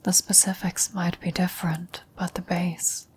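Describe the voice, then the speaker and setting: Woman doing a frail old lady on her deathbed, asmr whisper, really slow n halting, low flat voice, wistful, philosophical. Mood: gently weary. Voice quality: breathy whisper. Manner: slow, halting, reflective delivery with long pauses. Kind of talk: whispered ASMR roleplay monologue.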